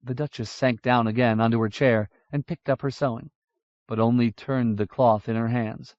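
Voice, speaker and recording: A man narrating a fantasy audiobook in a measured, even way. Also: mid-range voice, no echo. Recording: dull lo-fi audiobook recording.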